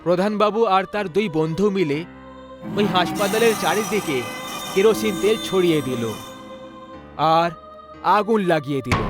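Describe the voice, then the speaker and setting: A male storyteller narrates a scripted horror tale. His voice sits somewhat high for a man, and music plays clearly behind it.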